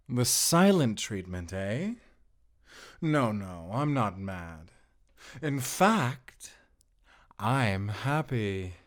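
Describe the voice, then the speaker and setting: A man playing a smug prison warden in an audio drama, taunting inmates with slow, mock-kind menace. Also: medium pitch.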